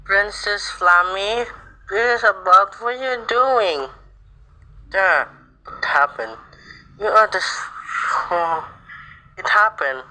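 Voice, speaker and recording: Man voicing an accuser, high-pitched, extremely slow, broken phrases, amateur drama. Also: bright, forceful tone, exaggerated voice, poor audio. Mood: grave, halting. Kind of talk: amateur online scenario dialogue.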